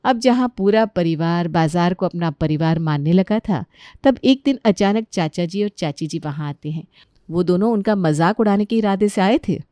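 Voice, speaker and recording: A woman's voice: fairly low pitch, expressive, normal speed, mellow, no echo, studio quality. A woman giving scripted narration for a cartoon story.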